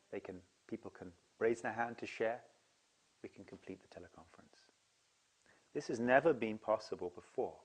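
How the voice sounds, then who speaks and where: A man's voice: on the low side, breathy edge, poor audio. A man who leads seminars, explaining to camera in an earnest, enthusiastic way. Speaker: seminar leader.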